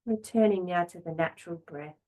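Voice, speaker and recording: A woman teaching a yoga class, giving calm, gentle relaxation guidance in short phrases with long pauses. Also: on the low side, poor audio.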